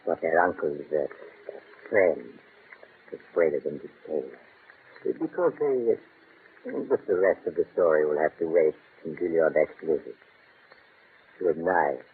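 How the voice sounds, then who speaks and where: An actor playing a calm, sinister host of horror tales, speaking slowly and insinuatingly in 1940s radio drama style. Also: male voice, rumbling low. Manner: slow, insinuating, mock-sympathetic. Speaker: storyteller host of the show. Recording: tinny old radio transcription, faint hiss.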